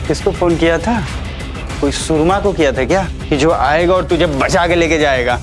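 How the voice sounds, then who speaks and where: A man's voice: a little high, moderate pace, over music, close to an everyday voice. An actor playing a bullying shop salesman who sneers and threatens in an audio drama. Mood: sneering, contemptuous. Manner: mocking, threatening.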